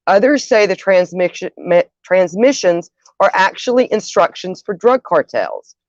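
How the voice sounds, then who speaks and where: A woman's voice: mid-range voice, dry recording, dull audio. A woman hosting a show, presenting partly from notes and sometimes stumbling over words and correcting herself. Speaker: show host.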